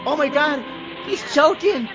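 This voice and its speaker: A man's voice: extremely high pitch, expressive, measured pace, lo-fi sound, music in the background, voice pushed up. A man playing a panicked, shrieking bystander in a farcical amateur audio drama.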